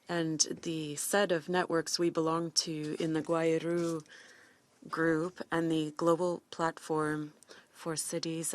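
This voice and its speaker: A woman giving live interpretation of a formal speech, in halting phrases with false starts. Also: low-pitched. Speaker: simultaneous interpreter.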